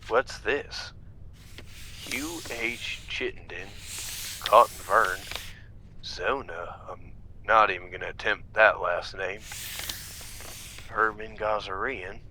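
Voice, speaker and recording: A man's voice: on the low side, slow pace, pauses often, pushed and bright, slight background noise, heavily acted, low-quality recording. Man playing an earnest, inquisitive investigator. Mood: puzzled, curious. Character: earnest, inquisitive man searching a murdered tavern keeper's home. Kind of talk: narrative podcast acting.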